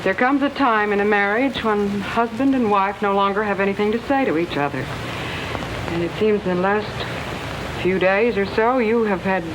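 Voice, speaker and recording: Over clear background hiss comes an actress as a nagging, dissatisfied wife in an old radio play. Her voice is mid-pitched, and she presses her points with deliberate reproach. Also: natural voice. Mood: reproachful.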